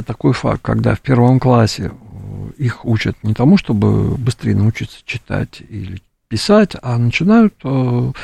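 A man speaking as a studio guest in a live political interview, in a halting, opinionated way that keeps appealing to the listener. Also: medium pitch, dark tone, dry recording. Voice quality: gravelly, husky. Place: radio studio.

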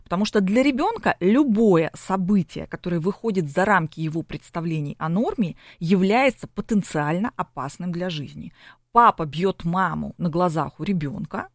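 Gravelly woman explaining like a lecturer. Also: lowish voice, animated, normal speed, no room sound, studio quality. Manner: lecturing, with frequent tag questions. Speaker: psychologist guest. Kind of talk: explanatory broadcast interview.

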